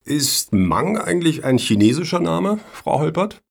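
Actor doing brusque suspicious panel guy in a satire radio play, really animated intonation. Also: a little high, unhurried, creaky, exaggerated voice. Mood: pointed. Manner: pointed, brusque. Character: brusque, suspicious official scoring the applicants.

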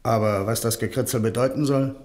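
An actor playing a dutiful, slightly cheeky junior police detective in a German radio crime drama, reporting matter-of-factly. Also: male voice, mid-range voice, steady tone, brisk, darkish tone, natural voice. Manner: matter-of-fact, crisp reporting.

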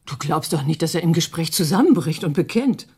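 Radio play: sceptical confidante, extremely breathy and husky, lowish pitch, quietly determined. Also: female voice, lively intonation, brisk, full character voice. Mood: quiet, doubtful. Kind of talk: radio drama dialogue.

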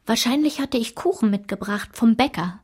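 A sombre woman looking back on her childhood in a literary radio play. Her voice is fairly high and husky, measured and restrained, sometimes sinking toward a whisper. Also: expressive. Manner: measured, at times dropping to a whisper.

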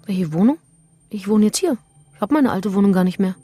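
A woman's voice: mid-range voice, animated, brisk, natural voice. An actress playing a brash, flirtatious, clingy woman in a radio crime drama, breezy and frank. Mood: insistent, smitten.

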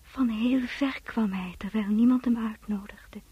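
A woman's voice: on the high side, a little slow, no room sound, dull audio. A woman playing a dazed, grieving young girl in a radio play, speaking in a dreamy whisper. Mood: dreamy, mournful. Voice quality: breathy whisper. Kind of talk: Dutch radio play acting.

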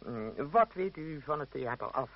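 An actor playing a pompous, middle-aged leader of a travelling theatre troupe in a radio play, stammering and humming in a self-important, fussy way. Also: male voice, medium pitch, animated, moderate pace. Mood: flustered. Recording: dull old radio drama recording.

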